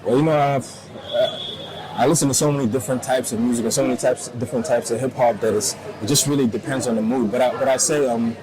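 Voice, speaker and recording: Young man, from Rwanda, soft-spoken, hesitant, chatter in the background. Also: medium pitch, fairly even intonation, fast talker, poor audio. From Rwanda, raised in South Africa. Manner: soft-spoken, many ums and you knows. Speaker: rapper guest. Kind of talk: casual interview answers. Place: busy lounge.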